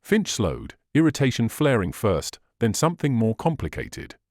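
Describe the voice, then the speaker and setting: A man's voice: medium pitch, wildly swooping pitch, moderate pace, darkish tone, no echo, clean studio sound. A man narrating crime fiction as a dramatic scripted audiobook reading.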